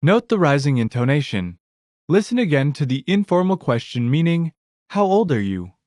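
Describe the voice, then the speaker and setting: A man's voice: a little high, big pitch swings, normal speed, a little mellow, no echo, clean studio sound. A man narrating a language lesson audiobook, announcing each word in a fixed scripted pattern.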